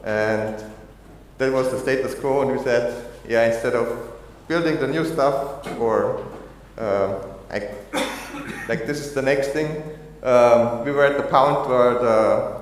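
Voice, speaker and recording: A man from Austria giving a technical conference talk, halting with many fillers and restarts. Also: medium pitch, slight background noise. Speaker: conference speaker. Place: conference hall. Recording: echoey hall, long reverb.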